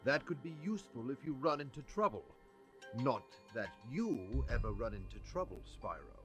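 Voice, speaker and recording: A man voicing a wise, gently teasing dragon who gives advice in a video game, in a wry manner. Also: medium pitch, sing-song, moderate pace, close to an everyday voice. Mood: wry, helpful. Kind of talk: video game character acting. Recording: game audio under clear music.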